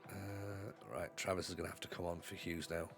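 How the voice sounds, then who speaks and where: A man's voice: low voice, level tone, fairly quick, over music. Man streaming, stuffy with a cold. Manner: chatty, thinks aloud, urges on his players. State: congested with a cold. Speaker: game streamer. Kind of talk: live gameplay commentary.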